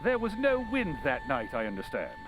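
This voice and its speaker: An actor playing a keen, self-assured English detective in old-time radio drama style, courteous, theatrical and inquisitive. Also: male voice, on the high side, wildly swooping pitch, normal speed, over music. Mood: composed.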